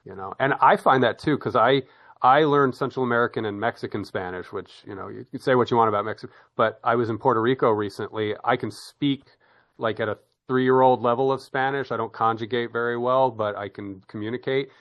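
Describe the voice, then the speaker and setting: A man's voice: mid-range voice, fairly even intonation, a little fast, muffled recording. A middle-aged man hosting a casual conversation, rambling with repeated words and restarts, laughing easily. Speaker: podcast host. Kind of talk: casual chat.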